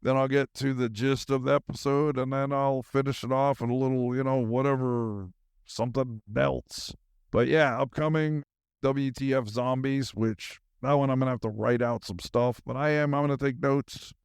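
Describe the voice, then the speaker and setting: A man's voice: mid-range voice, steady tone, normal speed, clean tone, no room sound, clean studio sound. A man hosting a solo podcast in a casual, rambling monologue, with a goofy manner and silly asides.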